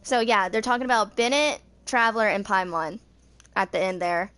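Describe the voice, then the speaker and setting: A woman in her twenties reading game lore aloud in a halting, amateur way, stumbling on long words, as a casual fan vlogger. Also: mid-range voice, fairly bright tone, no echo. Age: 20s.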